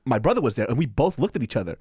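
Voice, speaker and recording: Animated, swearing man telling stories. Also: medium pitch, very fast, breathy edge. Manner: profane, animated storytelling with lots of laughter. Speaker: podcast co-host. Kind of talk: casual chat.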